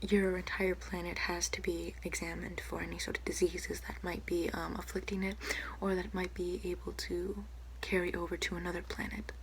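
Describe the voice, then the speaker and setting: A young woman speaking softly and often whispering in an ASMR doctor roleplay, hesitant, unhurried and polite. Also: fairly low pitch, little pitch movement, low-quality recording. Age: young adult. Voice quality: soft, breathy, often whispering. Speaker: ASMR creator playing a doctor. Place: small room at home, indoors. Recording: noticeable background hiss, home recording.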